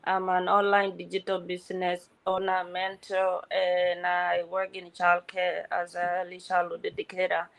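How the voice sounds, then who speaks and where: A woman from South Sudan introduces herself on a live video call chat. She speaks plainly and steadily. Her voice is low, flat and gravelly. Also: moderate pace, hardly any pauses.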